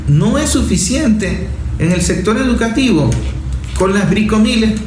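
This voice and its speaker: A man's voice: high-pitched, animated, a little slow, a little mellow. A man with a Venezuelan accent giving impassioned political commentary, insistent and repetitive, driving points home with rhetorical questions. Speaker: journalist and speaker. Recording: echoey room with faint noise.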